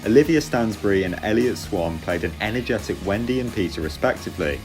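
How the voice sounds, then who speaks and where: A British man giving a scripted theatre review as host, in a measured, articulate and opinionated way. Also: fairly low pitch, expressive. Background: music under the voice.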